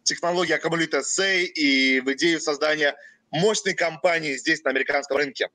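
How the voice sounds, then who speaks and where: A young man from Russia leads a company webinar, pausing after each short phrase for his interpreter. His voice sits high for a man and sounds bright and forward. The sound is close and dry, with no room around it. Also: no echo. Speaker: company president. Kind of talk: webinar presentation.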